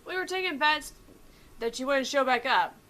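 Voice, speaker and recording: A woman's voice: sits high, expressive, moderate pace, sharp tone. A woman voicing a teasing, casual classmate in a visual novel game, breezy and bantering.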